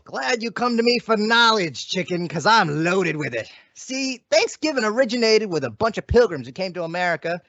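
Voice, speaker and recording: A man's voice: high-pitched, animated, moderate pace, hardly any pauses, fairly bright tone, no room sound, dull audio. A man playing a slangy, self-important freeloading boyfriend who bluffs and stalls, in an old-time radio comedy. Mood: pompous.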